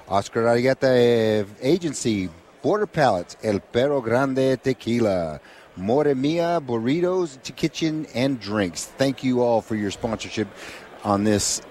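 A man's voice: mid-range voice, darkish tone, slight background noise. A man co-hosting a sports radio show, friendly and casual, often restarting his sentences, at an event broadcast booth. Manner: stumbling restarts, friendly, reads sponsor lists.

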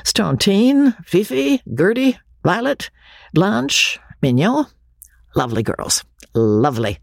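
A performer voicing a tipsy gentleman host in an audiobook, formal and deferential but fumbling and halting. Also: medium pitch, big pitch swings, gravelly, no room sound, studio quality, voice pushed up, full character voice. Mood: tipsy geniality. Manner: halting, fumbling, boozily courteous.

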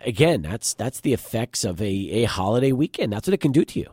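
Slightly hoarse male sports host. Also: fairly low pitch, a little fast, dry recording, clean studio sound. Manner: conversational, lively questioning. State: slightly hoarse, deeper than usual. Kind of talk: studio sports talk. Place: radio studio.

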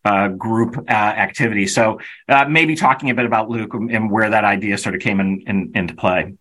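A man's voice: fairly low pitch, a little fast, pushed and bright. A man hosting a podcast interview, asking long, hesitant questions full of restarts. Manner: hesitant, many restarts and fillers, thinking aloud. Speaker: podcast host.

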